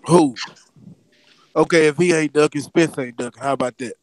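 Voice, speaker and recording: A hoarse man losing his voice, calling in and arguing bluntly in a casual chat, swearing freely. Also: fairly high pitch, normal speed, slight background noise. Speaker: caller. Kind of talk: casual debate on a call.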